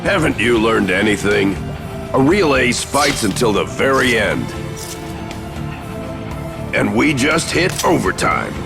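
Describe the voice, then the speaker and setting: An actor playing a cocky, taunting but gruffly fond middle-aged father and warrior in a fantasy video game, with a gravelly voice and a swaggering, blunt manner. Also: mid-range voice, very animated, slow pace, long pauses, music in the background, heavily acted. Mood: cocky, casual.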